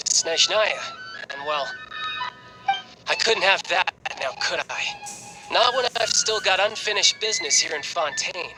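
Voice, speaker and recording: An actor playing a cocky, cheerful young warrior in a video game, breezy, playful and eager for a fight. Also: male voice, high voice, low-quality recording. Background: game music.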